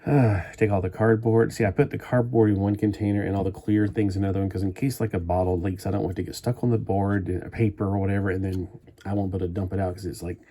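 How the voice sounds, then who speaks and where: A man's voice: low voice, steady tone, quick pace, warm tone. A man chatting at home as he builds a toy kit live, meandering and thinking aloud with frequent restarts. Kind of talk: rambling live-stream chat while building.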